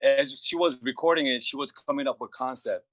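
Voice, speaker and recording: A man speaking casually on a video call interview, telling stories about recording with a relaxed, rambling manner full of "you know". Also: mid-range voice, fairly quick, dry recording. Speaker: label head and producer guest.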